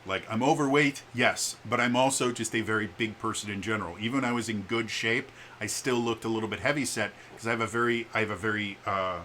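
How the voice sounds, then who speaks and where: A man giving a casual, opinionated vlog, with an expressive, emphatic delivery that shifts in volume and a stutter that catches on some words. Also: on the low side, fast, hardly any pauses. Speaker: vlogger. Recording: background room noise.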